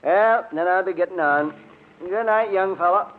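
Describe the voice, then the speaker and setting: Through a tinny, narrow old radio recording comes a man acting out a folksy country sheriff with a rural American accent. His voice sits fairly high, and he drawls in plain-spoken, gossipy small-town fashion. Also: moderate pace, slight background noise, lightly acted. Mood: folksy, parting. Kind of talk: 1950s radio drama acting.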